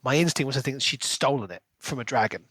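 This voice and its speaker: A man's voice: mid-range voice, animated, quick pace, a bit bright, slightly rough, no room sound. A man co-hosting a podcast, talking through a book in a casual, analytical and explanatory way. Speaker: podcast co-host.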